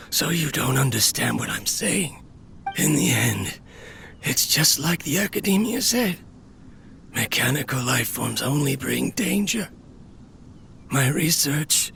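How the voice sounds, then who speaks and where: An actor playing a tired, lonely inventor speaking softly to his machine in a game. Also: on the high side, creaky, slight background noise, heavily acted. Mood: defeated. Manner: tired, melancholy monologue. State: ill, coughing, weakening. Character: weary lonely man, a failing inventor talking to his machine. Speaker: game character.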